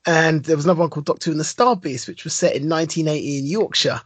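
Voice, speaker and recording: A man's voice: a little high, rapid-fire, husky, no echo. A man co-hosting a podcast, telling a long story with a lot of enthusiasm and rambling asides. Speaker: podcast co-host. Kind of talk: casual chat.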